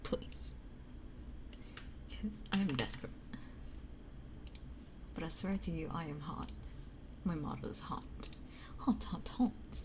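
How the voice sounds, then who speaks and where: A young woman ASMR streamer chatting to her viewers in a breathy, mostly whispered voice, casual, candid and playfully self-mocking, close on a binaural microphone. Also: low-pitched, expressive, slow pace, long pauses, background noise. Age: young adult. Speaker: ASMR livestreamer. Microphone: 3Dio binaural ASMR microphone, close up.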